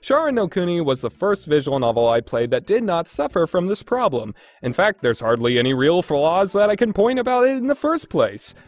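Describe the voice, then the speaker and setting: A man's voice: on the high side, big pitch swings, a little fast, hardly any pauses, dry recording. A man narrating a scripted countdown review as a video game reviewer, informal and opinionated.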